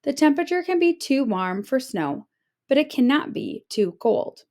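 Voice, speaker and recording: Woman reading aloud with very lively intonation. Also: high voice, moderate pace. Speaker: teacher reading a children's book aloud. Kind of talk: scripted read-aloud of an informational children's book.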